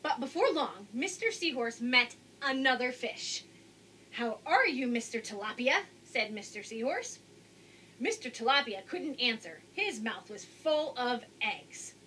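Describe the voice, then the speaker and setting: A woman's voice: on the high side, very animated, a little slow, pushed and bright, low-quality recording. A woman in her thirties reading a picture book aloud expressively to young children, indoors on a camera mic. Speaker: storybook reader. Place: indoors, small room with curtain backdrop. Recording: faint background noise.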